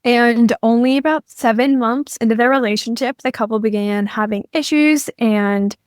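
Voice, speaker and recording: A woman's voice: on the high side, moderate pace, no room sound, clean studio sound. A teenage girl hosting a true crime podcast, telling a case from her notes. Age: teens. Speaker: podcast host.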